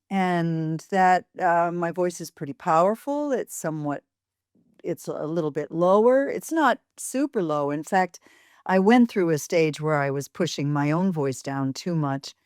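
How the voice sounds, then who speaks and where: Measured low-voiced American woman, unhurried. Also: dry recording, clean studio sound. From United States. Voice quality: fairly deep voice. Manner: thoughtful, measured, many pauses with fillers. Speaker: voice and speech professor, guest. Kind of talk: interview answers.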